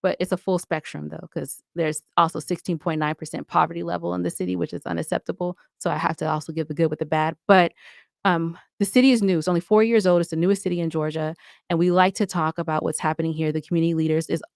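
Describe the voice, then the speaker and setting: A woman with a fairly low voice chats in a casual interview. She is candid and playful, telling things in an animated, conversational way. The sound is dry, with almost no room. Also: fast talker, gravelly, no room sound. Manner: chatty, candid, animated storytelling with playful asides. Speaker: podcast guest, audio drama podcaster.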